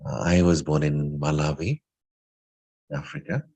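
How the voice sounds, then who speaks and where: Very deep-voiced man, informally reminiscing. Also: steady tone, measured pace, warm tone. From England. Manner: reflective, anecdotal, lightly humorous. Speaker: spiritual speaker. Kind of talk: informal talk sharing personal story.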